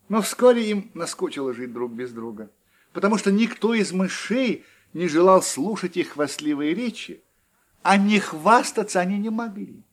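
A man narrating a folk tale in a husky, expressive storytelling manner. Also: high voice.

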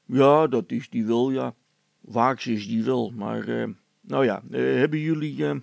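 A man's voice: mid-range voice, measured pace, vocal fry, dry recording. A man voicing a good-natured, proud village policeman with a folksy, rambling, hesitant way of talking in a comic story. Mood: proud, genial. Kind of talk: character voice in a read-aloud comic story.

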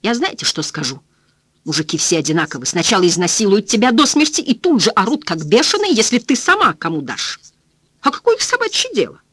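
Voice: medium pitch, wildly swooping pitch, fairly quick, a bit bright, breathy edge, dry recording, pitched above the performer's own voice, heavily acted. A reader voicing a blunt, foul-mouthed woman cleaner who exclaims and rants in plain folksy speech. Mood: ranting.

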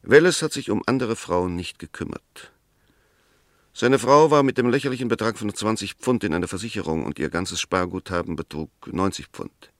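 English defence barrister, 1960s radio drama: lowish voice, formal, firm, methodical emphasis, very dry sound. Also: male voice, lively intonation, moderate pace, no room sound. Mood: convinced. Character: Mr. Oliver, firm, reasoning English defence lawyer. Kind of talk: 1960s German radio play, formal debate.

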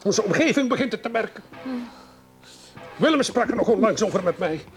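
A man's voice: sits high, animated, a little fast, soft background music. An actor in a radio play playing a domineering middle-aged husband who scolds his wife in a stern, condescending, exasperated way.

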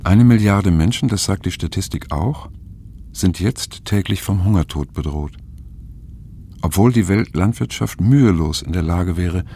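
An actor playing an aging, pensive narrator of a radio play, speaking gravely and in measured phrases with a gravelly voice. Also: male voice, deep voice, dark tone, heavily acted. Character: aging, pensive male narrator reading his diary.

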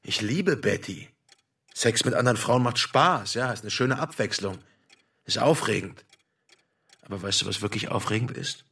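Crude possessive husband, husky mid-range voice, slightly distant, blunt and needling, radio drama. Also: male voice, on the low side, moderate pace, fairly bright tone. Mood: smug, frank. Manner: blunt, provocative.

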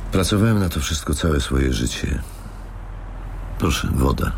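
An actor playing a cold, menacing elderly company boss in a radio drama, gravelly and coolly formal, deliberate and controlling. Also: male voice, a bass voice, expressive, slight background noise, exaggerated voice. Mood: curt, commanding. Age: older.

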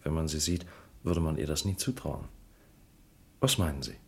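An actor playing a sly, mocking shyster lawyer in a crime radio drama, theatrical and wheedling, with a raspy voice. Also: male voice, very deep voice, normal speed, full character voice. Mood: scheming.

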